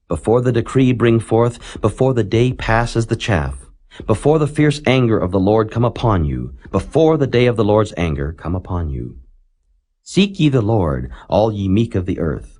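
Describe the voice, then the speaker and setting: A man reading scripture aloud in a solemn, measured way, rising dramatically on the warnings. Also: on the low side, darkish tone. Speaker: Bible reader.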